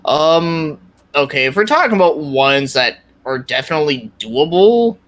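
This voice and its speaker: A man's voice: fairly high pitch, sing-song, moderate pace, fairly bright tone. A man speaking as a podcast guest in casual, informal conversation about video games, rambling a little.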